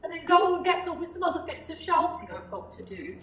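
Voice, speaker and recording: A high, wildly swooping alien guard girl, acted by a woman in a roomy, noisy recording. She is dim and dutiful, a born shouter who turns halting when made to think. It plays as comic amateur radio drama. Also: sing-song, exaggerated voice. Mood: dutiful, chatty. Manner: bellowed catchphrases, halting reflective answers. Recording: roomy echo with clear background noise.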